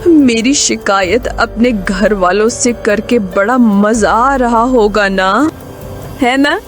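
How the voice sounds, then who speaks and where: A woman playing a sinister older mother-in-law who speaks with mocking, drawn-out sweetness in a horror story. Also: a little high. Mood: mocking menace. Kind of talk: dramatized horror story acting. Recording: background music under voice.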